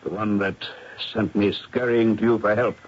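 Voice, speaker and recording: Radio drama casting: man to play earnest, formal country gentleman living in fear, husky voice, dull old recording. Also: lowish voice, steady tone, moderate pace, close to an everyday voice. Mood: dread. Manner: grave, emphatic, formal. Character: haunted, earnest titled gentleman host, indignant and fearful. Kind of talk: 1970s radio drama acting.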